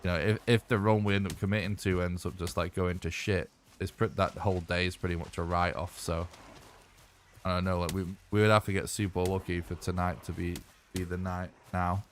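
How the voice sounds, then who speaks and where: Deep-voiced man, going hoarse. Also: fairly quick, a little hiss or hum. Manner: rambling, relaxed, thinks aloud while playing. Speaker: streamer. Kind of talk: casual live-stream gaming chat.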